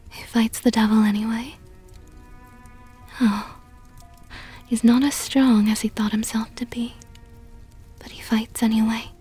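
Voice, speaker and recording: A woman plays a seductive vampire countess in an ASMR roleplay, very breathy and whispery. She speaks slowly and softly, with a dark, velvety tone and a possessive, teasing tenderness, close to the mic. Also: fairly high pitch. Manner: slow, teasing, condescendingly tender. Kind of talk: ASMR roleplay, intimate monologue. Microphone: close microphone.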